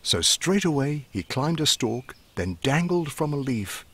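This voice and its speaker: A man narrating a rhyming children's bedtime story in expressive, measured verse. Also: mid-range voice, slightly rough.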